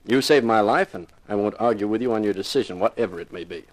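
A man's voice: fairly low pitch, fast, natural voice, rough recording. A man playing a tough, wisecracking private eye in a 1950s radio detective drama, with a wry way of talking. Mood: determined. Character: wisecracking, hard-boiled male private eye with a grudge. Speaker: lead actor and narrator. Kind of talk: 1950s radio detective drama, first-person narration and dialogue.

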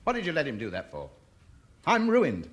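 Pompous, hapless boss, swooping wildly. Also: male voice, fairly high pitch, huge pitch swings, normal speed, over loud music. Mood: exasperated, indignant. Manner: theatrical, indignant, grovelling when beaten. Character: pompous, hapless, exasperated male employer, easily cowed. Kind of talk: 1950s radio sitcom acting. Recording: noisy old radio recording.

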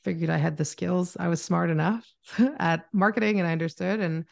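A woman's voice: lowish voice, fairly even intonation, a little fast, creaky, darkish tone. A woman in her thirties with a Canadian accent, telling her story in a chatty, informal interview style. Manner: informal, chatty, self-narrating. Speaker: podcast guest, marketing mentor.

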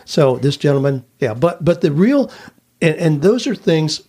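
Husky older man hosting a podcast. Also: on the high side, big pitch swings, a little slow, a little mellow. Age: older, past sixty-four. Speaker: podcast host, career coach. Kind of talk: casual conversational storytelling.

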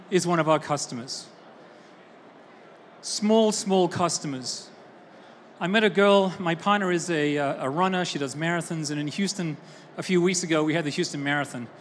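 A woman's voice: on the low side, a little hiss or hum. Woman speaking on a conference stage. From Austin, Texas. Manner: energetic, conversational, asks the audience questions. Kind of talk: conference talk. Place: large conference hall. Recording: roomy hall with faint ambience.

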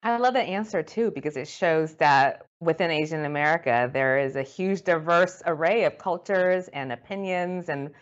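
A woman's voice: low voice, moderate pace, few pauses. A woman interviewer asking fluent, prepared questions, heard on a dull lo-fi recording.

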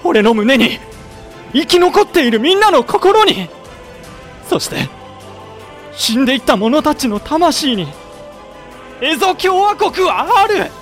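A young actor playing a loyal samurai officer who narrates soberly and pleads with fiery passion. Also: male voice, very high voice, big pitch swings, takes it slowly, pauses often, faint music behind, heavily acted. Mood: fervent, impassioned. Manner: passionate, rising to near shouting when pleading. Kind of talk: audio drama acting and period narration.

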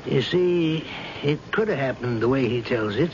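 An actor playing a patient senior police detective, deliberate and explanatory, in a 1970s radio drama style. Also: male voice, mid-range voice, lively intonation, lightly acted. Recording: dull, noisy old radio broadcast.

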